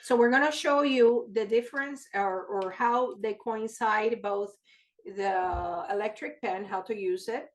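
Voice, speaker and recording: A woman's voice: medium pitch, unhurried. A woman co-presenting in an informal, explanatory way, direct and practical, checking for agreement as she talks.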